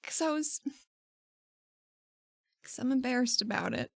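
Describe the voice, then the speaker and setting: A woman voicing a flustered, defensive woman adventurer in a tabletop game, with halting excuses and blunt words. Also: sits high, no room sound, clean studio sound. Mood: embarrassed.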